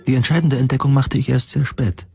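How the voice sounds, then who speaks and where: A man narrating a first-person science fiction audio drama in measured, deliberate, literary phrasing. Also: mid-range voice, steady tone, vocal fry, over music.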